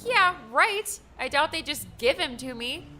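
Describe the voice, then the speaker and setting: A woman's voice: high voice, lively intonation, measured pace, sharp tone, soft background music, full character voice. A woman playing a sarcastic, feisty young female adventurer with a blunt, informal manner in an audiobook. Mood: exasperated, sarcastic. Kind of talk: audiobook character dialogue.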